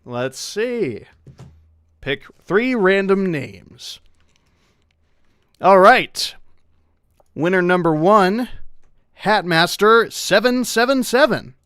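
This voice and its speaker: A man hosting a live game stream, chatting casually and relaxed, with plenty of fillers. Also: high-pitched, very slow, pauses often, clean tone, dry recording. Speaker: streamer hosting a mod playthrough.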